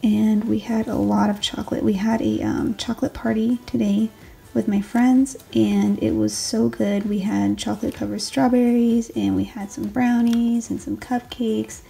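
A woman in her twenties with a raspy late-night voice, rambling casually through her daily planner and correcting herself as she goes. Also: a little high, flat delivery, moderate pace, soft background music. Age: 20s. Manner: rambling, self-correcting, soft. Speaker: planner vlogger, stay-at-home mom. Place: home desk, filmed late at night. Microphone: overhead camera on the desk.

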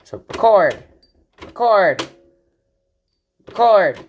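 A woman's voice: mid-range voice, lively intonation, very slow, muffled recording, a little hiss or hum. A woman talking informally and with exasperation in a rambling hands-on vlog, with some mild swearing. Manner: informal, exasperated, mildly profane. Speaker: video maker. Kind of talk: casual rambling vlog, narrating a hands-on product test. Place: indoors in a home kitchen area.

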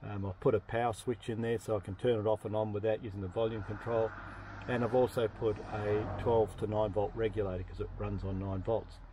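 Mellow, low-voiced Australian man. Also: level tone, a little fast, slight background noise. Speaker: off-road camping vlogger. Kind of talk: casual explanatory vlog. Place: inside a parked truck cab in the bush.

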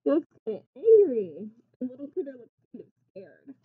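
A woman in her twenties reading a picture book aloud like a teacher, expressively, pausing to put simple questions and explanations to young children. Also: high voice, very animated, slightly rough, narrow, tinny audio. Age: 20s. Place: small classroom, indoors.

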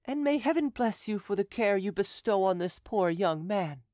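A woman's voice: medium pitch, animated, moderate pace, dark tone, dry recording, clean studio sound, voice pushed up, lightly acted. A woman voicing a tearful grateful Frenchwoman. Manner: tearful and pleading.

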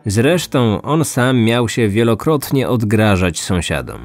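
A man's voice: lowish voice, very animated, measured pace, faint music behind. A man narrating a scripted true-crime story as a podcast host.

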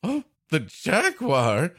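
Slow-paced, animated man riffing casually. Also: on the high side, breathy edge, no echo, studio quality. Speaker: comedy podcast co-host. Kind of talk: casual comic riffing and interjections.

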